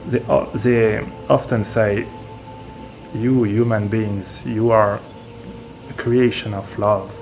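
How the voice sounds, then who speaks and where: A man with a lowish voice speaks very slowly as an interview guest, pausing often as he searches for his words. Faint music plays behind him. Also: fairly even intonation, gravelly, darkish tone. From France. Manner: slow, hesitant, searching for words. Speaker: interview guest, medium and author. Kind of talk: casual interview talk.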